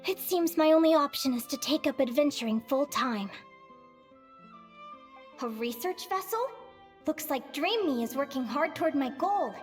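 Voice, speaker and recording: A woman's voice: sits high, animated, moderate pace, long pauses, soft background music. A woman voicing an eager, dreamy young female adventurer in a video game, bubbly and wide-eyed as she talks to herself. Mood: wistful, curious. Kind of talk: video game voice acting, monologue.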